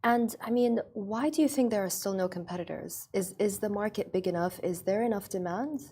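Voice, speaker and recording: A woman's voice: mid-range voice, fairly quick, mellow, slightly rough. A woman hosting a conversational business podcast, restating her guest's points and probing with questions. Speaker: podcast host, interviewer.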